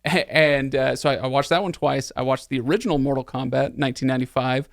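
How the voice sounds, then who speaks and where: A man hosts a casual podcast in a medium-pitched voice. He banters in a tongue-in-cheek, joking way, and the sound is close and dry, with no room to it. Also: fairly even intonation, fast talker, gravelly, no echo. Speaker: podcast host.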